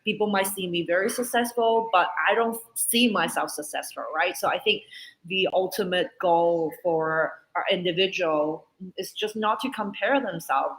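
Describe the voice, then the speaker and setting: A woman's voice: lowish voice, a bit bright, poor audio. A woman guest in an interview, speaking in an encouraging, reflective way. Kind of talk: interview conversation.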